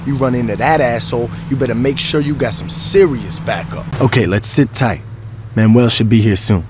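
A streetwise gang lieutenant voiced by a man from a crime video game, speaking in a mid-range voice. He is blunt, confident and careful, handing out instructions in slang over clear background car noise. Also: mid-range voice, normal speed, natural voice. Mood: cool, cautious. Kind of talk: video game cutscene acting.

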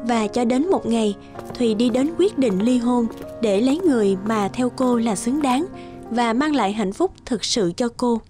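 A woman's voice: fairly high pitch, expressive, normal speed. Woman narrating a story over music. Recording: guitar music under the voice.

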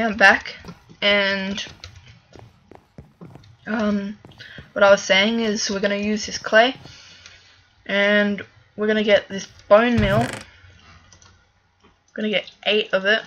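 A woman giving casual let's-play commentary as she plays, chatty and thinking aloud with fillers, a little hoarse from a cough. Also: medium pitch, flat delivery, slow pace, long pauses, a bit bright, highs cut off. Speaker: gaming video creator.

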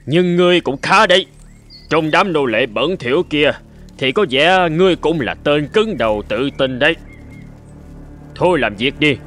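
An actor playing a haughty, bossy middle-aged master in a cartoon folk tale, speaking lines imperiously. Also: male voice, a little high, lively intonation, moderate pace, fairly bright tone. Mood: stern, sizing up. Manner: imperious, scolding servants. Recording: music behind the voice.

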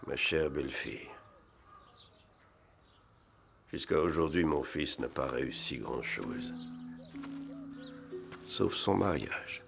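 A man playing a stern, grave old Gaulish father-in-law in an animated historical drama, with a gravelly voice. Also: a bass voice, a little slow, over music, heavily acted. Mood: wry, tender. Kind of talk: animated docudrama acting in French.

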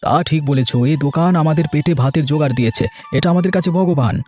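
Cartoon eatery helper, man actor, slightly high yet soft-toned, humble and eager, racing along over faint music. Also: quick pace, close to an everyday voice. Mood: eager, friendly. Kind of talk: cartoon voice acting.

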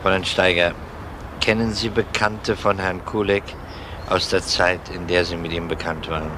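A man acting as a police detective with a low voice, formal and measured as he probes. It is a crime radio play, with clear background noise on the recording. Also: steady tone, natural voice. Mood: formal, courteous. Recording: noisy old radio drama recording.

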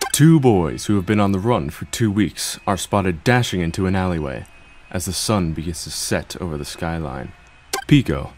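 A man with a very deep voice reads scripted story narration. He sets scenes as the narrator, over faint background noise. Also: darkish tone.

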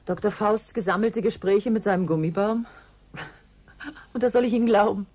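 An actress playing a sceptical, sharp-tongued, logical woman in a German radio play, brusque and sardonic in manner. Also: mid-range voice, moderate pace, husky, close to an everyday voice. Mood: mocking.

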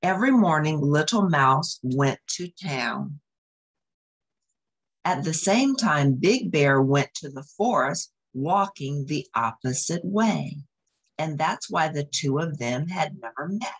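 A woman's voice: deep voice, lively intonation, slow pace, husky. A woman reading a picture book aloud as a storyteller, expressively, with explanatory asides to young listeners.

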